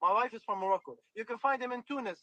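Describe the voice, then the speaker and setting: Insistent high-voiced man from northern Iraq. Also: quick pace, sharp tone, no echo, highs cut off. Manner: insistent, keeps repeating "Akhi". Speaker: caller in an online voice chat. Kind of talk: heated debate.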